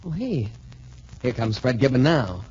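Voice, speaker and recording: Casting: wholesome college athlete hero, earnest, animated delivery, 1940s radio serial on a dull recording. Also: male voice, mid-range voice, a little slow, slight background noise. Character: earnest, trusting young male student boxer hero.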